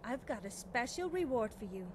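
Woman doing a young witch student for a fantasy game, highish voice, nervous n chatty, sweetly amoral, some noise behind her. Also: sing-song, moderate pace. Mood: eager, conspiratorial. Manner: chatty, hesitant, girlish backtracking. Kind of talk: video game dialogue acting.

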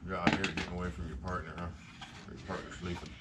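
A man's voice: low voice, flat delivery, quick pace, distant mic, heavy background noise. A man helping out in a garage, teasing his friend in joking banter. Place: garage at night. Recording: loud clatter, voice from off-mic.

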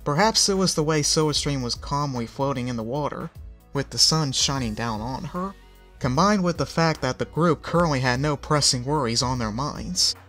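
A man reading a story aloud in a steady, even narration. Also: medium pitch, moderate pace. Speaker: fanfic reader. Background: faint music.

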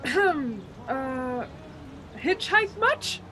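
A woman's voice: high-pitched, sing-song, drawn-out words, bright, forceful tone, exaggerated voice, poor audio. An actress playing a frightened, desperate woman driver in a suspense radio play, with dramatic intensity. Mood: friendly, probing. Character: frightened, increasingly desperate woman driver haunted on a road trip. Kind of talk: suspense radio play acting and first-person narration.

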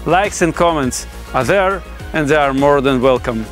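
A man's voice: a little high, normal speed. A man in his forties gives a scripted explainer in a formal, academic manner, speaking as a presenter. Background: background music.

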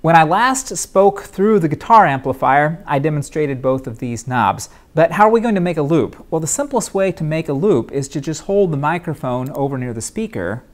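A man's voice: a little high, lively intonation, normal speed, few pauses. A young man, a professor, teaching methodically in an electronics lab on a lapel mic, asking and answering his own questions. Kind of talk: explaining with drawings.

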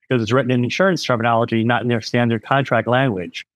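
A man's voice: medium pitch, steady tone, fast talker, no echo. A middle-aged man, an independent insurance agent, explains things frankly over a video call, with many "um"s and "you know"s. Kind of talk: explanatory interview talk.

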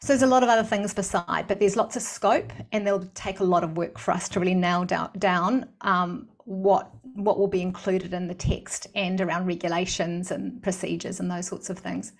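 A middle-aged woman with a New Zealand accent, answering as a guest expert in long, measured, searching sentences on a video call. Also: lowish voice, fairly even intonation, soft background music. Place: indoor room at home or office, video call. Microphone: desk microphone in front of speaker.

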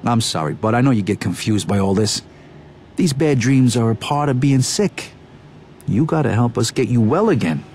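A man's voice: medium pitch, lively intonation, moderate pace, darkish tone, a little hiss or hum, lightly acted. A man playing a soothing, patronizing asylum orderly in a video game, coaxing and placating. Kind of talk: naturalistic video game acting.

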